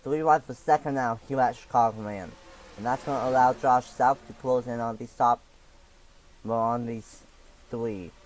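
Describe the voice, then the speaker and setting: Raspy young man calling a sim race. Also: medium pitch, steady tone, slight background noise, poor audio. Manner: halting, with many fillers and restarts. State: raspy from allergies. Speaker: league race commentator. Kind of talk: play-by-play sim racing commentary.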